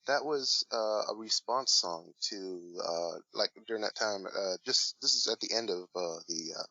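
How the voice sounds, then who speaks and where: A man's voice: fairly low pitch, measured pace, pushed and bright, dry recording, dull audio. A man talking casually as an interview guest, rambling and hesitant with many fillers. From Washington State, USA. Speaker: guest, hip hop artist.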